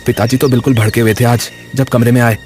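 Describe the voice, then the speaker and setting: A man's voice: medium pitch, level tone, quick pace, darkish tone, soft background music, close to an everyday voice. A man playing loyal, playful village boys in an audio story, chatting in a brotherly way. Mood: chatty, affectionate. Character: two loyal, playful young village cousins. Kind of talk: Hindi audio story drama.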